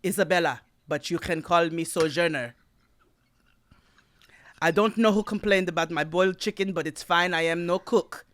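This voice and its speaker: Guy doing a loud blunt dutch-accented lady cook, bright and punchy, dry sound. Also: a little high, normal speed, no echo, pitched above the performer's own voice, lightly acted. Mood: brash. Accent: put-on Dutch. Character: giant brash woman cook, activist, Dutch accent.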